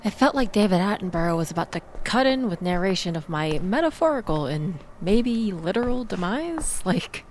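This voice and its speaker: A woman playing a shaken, chatty young woman in an intimate audio roleplay, rambling with wry asides and breathy sighs as she confides in the listener. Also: mid-range voice, sing-song, moderate pace, hardly any pauses, darkish tone, slight background noise, close to an everyday voice, low-quality recording. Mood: rattled, wry. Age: young adult. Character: shaken, chatty young woman escaping a bad date, self-deprecating and grateful.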